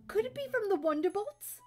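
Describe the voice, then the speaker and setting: A woman's voice: very high-pitched, darkish tone, heavily acted. A woman playing an eager eighteen-year-old mare in an amateur cartoon fan audio drama, gushing and exclaiming. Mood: breathless anticipation.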